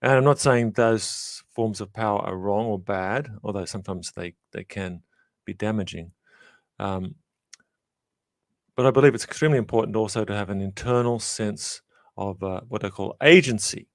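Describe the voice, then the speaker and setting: A middle-aged man from Australia, a professor giving measured, reflective interview answers full of small pauses and fillers. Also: fairly low pitch, no echo, clean studio sound. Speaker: guest, professor.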